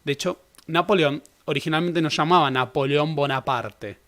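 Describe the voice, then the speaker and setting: A man's voice: fairly high pitch, moderate pace, a bit bright. A young man giving a casual, conversational history lecture. He corrects himself often and adds joking asides. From Argentina. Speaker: history teacher.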